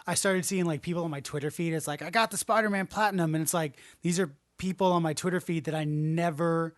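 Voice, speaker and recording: A man's voice: fairly high pitch, fairly even intonation, quick pace, no echo, clean studio sound. A man co-hosting a gaming podcast, chatting casually, rambling and swearing freely. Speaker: podcast co-host.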